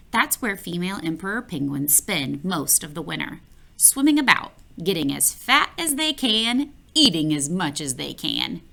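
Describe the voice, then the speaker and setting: Lively woman reading a children's story. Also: mid-range voice, creaky. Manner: expressive, playful, addresses the listener directly. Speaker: children's book reader. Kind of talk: read-aloud storytelling for children.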